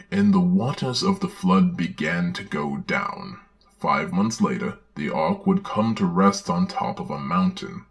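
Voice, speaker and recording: Deep-voiced man, theatrical creaky storyteller. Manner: theatrical, sardonic. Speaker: narrator and reviewer. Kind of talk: dramatic storybook reading with sarcastic commentary.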